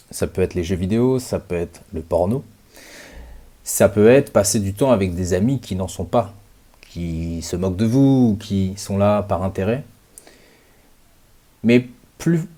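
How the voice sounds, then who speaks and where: A man in his late thirties giving a reflective, measured monologue to his viewers, pausing often and stretching out words. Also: fairly low pitch, warm tone. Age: 30s. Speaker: channel host.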